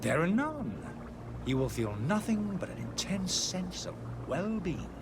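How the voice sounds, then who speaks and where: An actor playing a smooth-talking street charlatan in a video game, grandiose and persuasive in a theatrical sales pitch. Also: male voice, very animated, a little slow, over music, full character voice. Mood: smooth, reassuring. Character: smooth-talking male street charlatan hawking a miracle potion.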